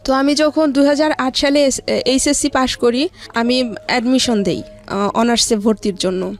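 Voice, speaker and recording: A woman recounting her own life story as an interview guest, with halting, hesitant delivery. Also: high voice, fairly even intonation. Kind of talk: personal life-story narration.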